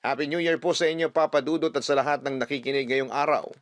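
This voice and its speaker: A man's voice: fairly high pitch, steady tone, quick pace, no echo. A man with a Filipino accent earnestly narrating a sentimental listener's letter as a radio drama host.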